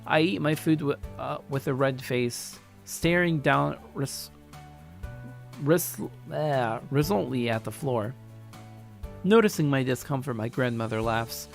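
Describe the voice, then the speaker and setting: A man narrating a first-person story aloud in an expressive way, now and then stumbling over his words. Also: medium pitch, measured pace, creaky. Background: faint music.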